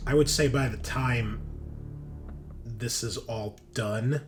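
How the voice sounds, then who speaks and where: A man narrates a tabletop game as its game master. His delivery is slow and halting, as if he is working things out aloud. Faint music plays behind him. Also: mid-range voice.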